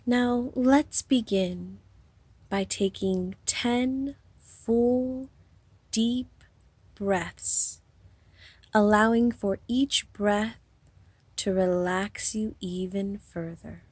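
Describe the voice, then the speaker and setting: Lady doing guided meditation, super slow n soothing, breathy, really dry close sound. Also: medium pitch, darkish tone, dry recording. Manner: soothing, unhurried, with long pauses and breathy phrase endings.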